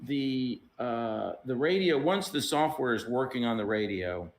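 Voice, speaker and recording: A man with an average-pitched voice in a casual technical discussion. He explains like an engineer, choosing his words in a measured and somewhat hesitant manner. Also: lively intonation. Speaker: radio software engineer.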